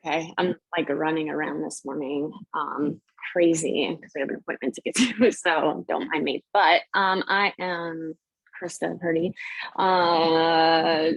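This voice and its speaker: A woman with a low, gravelly voice talks casually and a little scatteredly about herself. The sound is dry and close, and her manner is self-deprecating. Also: steady tone, measured pace, no room sound, rough recording. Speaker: guest speaker on a team call. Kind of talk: casual chat, personal testimony.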